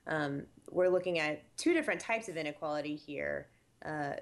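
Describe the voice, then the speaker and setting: A woman who directs housing statistics, giving measured, explanatory interview answers with frequent fillers. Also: sits low, animated.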